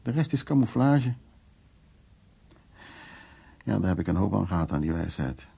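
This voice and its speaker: An elderly gentleman in a radio play, with a low, husky voice full of gravel. He is a wry old charmer who tells of his past in short, unhurried fragments. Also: sing-song, full character voice. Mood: wry reminiscing. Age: 70s or older. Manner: unhurried, fragmentary, reminiscing storytelling. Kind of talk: naturalistic radio drama monologue and dialogue.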